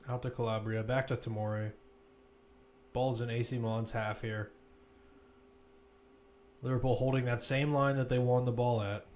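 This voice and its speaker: A man giving live play-by-play football commentary as a commentator, measured but lifting when attacks build. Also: mid-range voice, darkish tone, a little hiss or hum.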